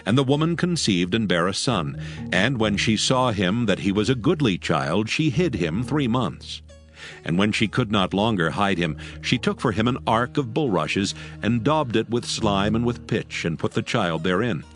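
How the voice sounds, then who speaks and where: Low-voiced, solemn male scripture narrator. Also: lively intonation, normal speed, a bit bright, soft background music.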